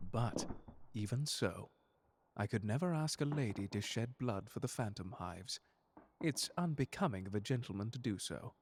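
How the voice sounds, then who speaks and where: A man playing a suave, unflappable butler in an audio roleplay drama, speaking in formal, genteel, precise language with quiet menace. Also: medium pitch, noisy background, close to an everyday voice. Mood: gently courteous.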